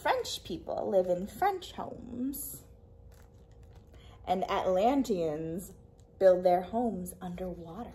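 A young woman reading a picture book aloud to small children, expressively, pausing for questions and little asides to her listeners. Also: fairly low pitch, animated, dark tone, a little hiss or hum. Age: young adult. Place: small indoor library room with a puppet theatre.

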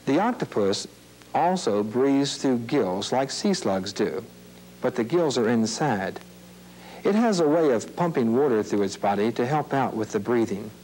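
A man reading scripted nature narration for children in a measured, explanatory, plain-worded way. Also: medium pitch, expressive, a little mellow, low-quality recording. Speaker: narrator of an educational film series. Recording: hissy, humming old videotape soundtrack.

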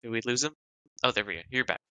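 A man's voice: mid-range voice, fast talker, pushed and bright, smooth, no room sound. A man co-hosting a podcast over a remote call in a casual, conversational way. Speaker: podcast co-host.

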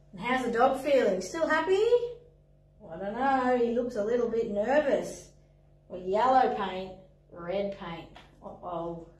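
A young woman, a playgroup facilitator, tells a picture story for toddlers and their parents in an animated, sing-song way, with lots of exclamations. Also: mid-range voice, distant mic. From Australia. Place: indoor playroom, in front of a screen. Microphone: far camera. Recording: echoey room, voice from afar.

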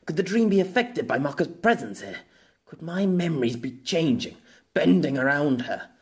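A man's voice: fairly high pitch, sing-song, normal speed, voice pushed up. Man acting a fretful, self-questioning man. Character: anxious man muttering to himself, doubting his memory.

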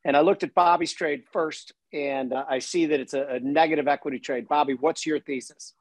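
A man hosting a podcast over a video call, briskly steering the talk to the next segment. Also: a little high, steady tone, no echo. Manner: brisk, moves the show along. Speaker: podcast host. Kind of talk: casual hosting.

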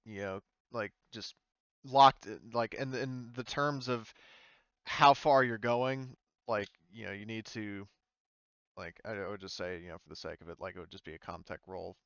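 Smooth-voiced man running a tabletop game. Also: lowish voice, normal speed, no room sound, lo-fi sound. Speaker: game master. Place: indoors on an online voice call.

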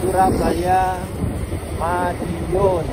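A man, casually narrating while riding a motorbike on a busy road. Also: high-pitched. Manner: relaxed, unhurried, pausing often. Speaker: moto vlogger. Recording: loud wind and traffic noise. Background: road traffic and wind.

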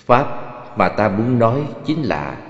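A man voicing a serene, solemn Buddha who teaches his disciples in measured, formal phrases in an animated film. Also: on the low side, over music. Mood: teaching, calm. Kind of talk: dubbed animated religious film dialogue. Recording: echoey, reverberant, slightly dull dub track.

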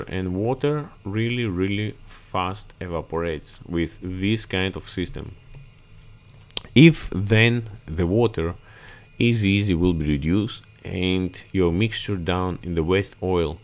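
A man with a very low voice gives a slow tour of a ship's engine room. He speaks non-native English and often repeats words for emphasis. He is recorded on a body-worn camera as he moves between the machines. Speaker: marine chief engineer giving a ship tour. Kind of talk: explanatory walkthrough video. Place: ship engine room, indoors. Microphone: body-worn action camera.

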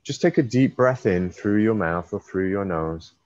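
Male hypnotherapist, low register, slow repeated suggestions stretched for emphasis, echoey room sound. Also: animated, darkish tone. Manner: repetitive, drawn-out suggestive phrasing with emphasis. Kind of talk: guided hypnosis session. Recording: roomy, slightly dull recording.

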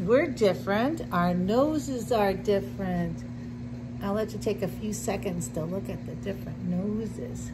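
A middle-aged woman reading a picture book aloud to young children, with deliberate simple phrasing and little asides. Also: medium pitch, noisy background. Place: indoor classroom with sink and counter.

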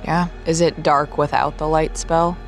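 A woman's voice: deep voice, flat delivery, unhurried. A woman chatting casually as a player in a tabletop game. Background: soft background music.

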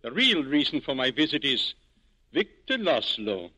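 An actor playing a stern, commanding military officer in 1940s radio style, clipped and formal. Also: male voice, mid-range voice, sing-song, a little slow, bright, forceful tone, heavily acted. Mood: demanding. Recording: dull 1940s radio recording.

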